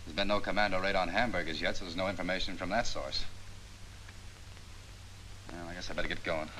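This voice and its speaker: An actor playing a confident, meticulous Army photo interpreter in a 1940s training film, explaining things precisely. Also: male voice, deep voice, fairly even intonation, brisk, bright, forceful tone, low-quality recording. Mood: self-assured. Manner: precise, self-assured, explanatory. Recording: 1940s film soundtrack with faint hiss.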